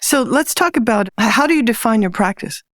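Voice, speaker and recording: A woman's voice: fairly high pitch, lively intonation, fairly quick, dry recording, clean studio sound. A woman hosting an interview podcast, speaking in a prepared, orderly way. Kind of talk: scripted introduction and interview questions.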